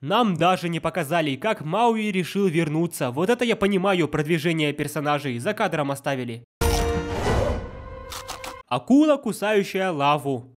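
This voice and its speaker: Guy doing sarcastic movie nitpick voiceover, kinda high voice, mocking. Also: animated, moderate pace, over music. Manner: sardonic, mocking. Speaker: narrator of a film-nitpicking video. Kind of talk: scripted sarcastic commentary.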